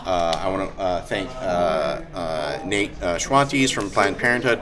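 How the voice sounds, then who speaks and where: A man hosting a live show, talking casually and off the cuff, with enthusiasm, halting asides and frequent hesitations. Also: low voice. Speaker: host of a live-streamed tabletop roleplaying show. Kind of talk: casual off-the-cuff talk to an audience. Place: live event room at a gaming convention. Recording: live convention room with crowd noise.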